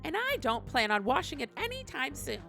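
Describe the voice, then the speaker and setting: A woman voicing a shameless, cheeky little goblin reading out tips in a squeaky comic voice. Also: sing-song, a little fast, bright, forceful tone, exaggerated voice. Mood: gleefully shameless. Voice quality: squeaky, creaky. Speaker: reader of viewer tips. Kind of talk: comedic character reading. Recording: clear music bed behind.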